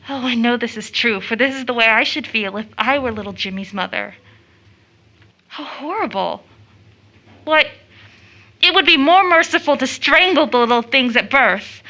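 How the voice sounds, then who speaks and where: Earnest young daughter, high bright voice. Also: female voice, heavily acted. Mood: horrified, bitter. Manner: earnest, emphatic, declamatory. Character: anguished idealistic young daughter, earnest and grieving. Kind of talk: stage-style audio drama acting.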